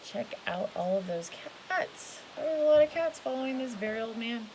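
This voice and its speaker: A woman's voice: on the high side, animated, moderate pace, a little mellow, low-quality recording. A woman reading a children's picture book aloud expressively, stretching key words and adding casual asides. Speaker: storybook reader. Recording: hissy home recording with background noise.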